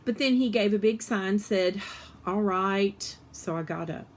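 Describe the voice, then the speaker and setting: A woman reading a children's story aloud in an animated, expressive way, with emphasis on the dialogue. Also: mid-range voice, brisk.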